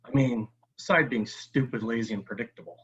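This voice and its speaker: Man playing a husky, sneering teenage bully, muffled mic. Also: mid-range voice, brisk, a bit bright. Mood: contemptuous taunting. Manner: taunting, menacing. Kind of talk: science fiction script table read. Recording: dull, lo-fi microphone.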